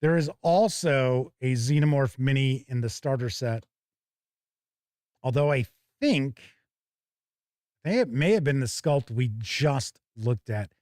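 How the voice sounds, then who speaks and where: A man's voice: medium pitch, lively intonation, slow pace, pauses often, a little mellow, no room sound, clean studio sound. A man hosting a tabletop gaming show, giving chatty, casual live commentary as he looks over products and talks with viewers.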